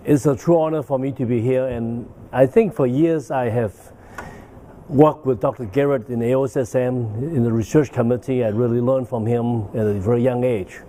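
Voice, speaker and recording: Man in his 60s, medical lecture, rambling, soft mellow tone. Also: medium pitch, fairly even intonation, moderate pace, slight background noise. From Hong Kong. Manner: rambling, with frequent "uh" and "okay?" tags. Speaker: guest lecturer. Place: banquet hall.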